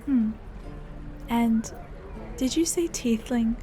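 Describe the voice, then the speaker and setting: A woman voicing a gentle young elf bard. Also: on the high side, takes it slowly. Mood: mild. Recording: home-recorded voice over game music.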